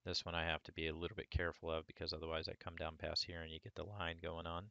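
A man with a hoarse voice from a cold, talking through a sculpting tutorial step by step in a relaxed way. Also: low voice, fast talker, no room sound, muffled recording. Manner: relaxed, talks through each step as he works. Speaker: 3D sculpting instructor. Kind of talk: screen-recorded software tutorial.

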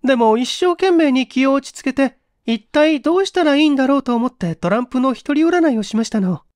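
A woman's voice: high-pitched, lively intonation, fairly quick, a little mellow, no echo, clean studio sound. A woman playing a polite, composed young lady with a secret, pleading in refined feminine speech in a mystery audio drama. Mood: earnest, shaken.

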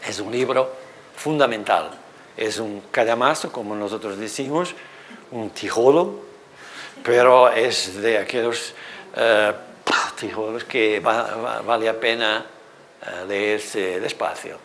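A middle-aged man with a Portuguese accent, speaking Spanish as a second language, gives an animated university seminar lecture in a hall on a lapel mic, with many fillers. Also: lowish voice, slow, slight background noise. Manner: animated, gestures at projected slides, thinks aloud with many fillers. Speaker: literature professor.

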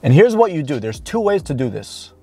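Higher-pitched man, blunt and emphatic. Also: very animated, dark tone, slightly rough. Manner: blunt, informal, emphatic with drawn-out key words. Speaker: dating coach. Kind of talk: direct-to-camera advice talk. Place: inside a parked car. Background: background music.

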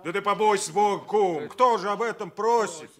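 Man playing earnest, highish-voiced scolding village neighbour. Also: moderate pace, close to an everyday voice. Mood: urging, scolding. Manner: sighing, admonishing, folksy. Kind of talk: Russian radio drama acting.

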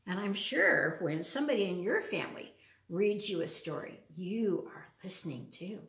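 An older woman reading a picture book aloud to young children, pausing for simple questions and chatty asides in a living room. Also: medium pitch, big pitch swings, fairly bright tone. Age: older, around 70s. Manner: simple, child-directed talk with frequent questions. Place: large open living room with wood floor, indoors. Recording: roomy echo, distant from the mic.

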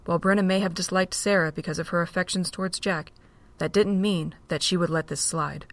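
A woman narrating a fan audio drama with steady, measured reading. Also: fairly low pitch.

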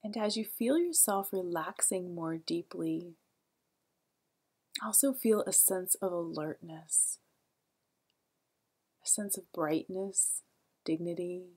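Soft, breathy woman guiding meditation. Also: fairly low pitch. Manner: calm, gentle, soothing, unhurried with long pauses. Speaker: guided meditation teacher. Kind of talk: guided meditation narration.

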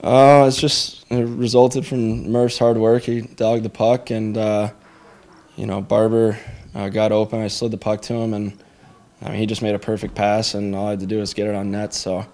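A young American college hockey player answers questions at a press conference. His voice is low and flat, with little rise or fall. He speaks modestly and leans on "uh" between phrases. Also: normal speed. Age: young adult.

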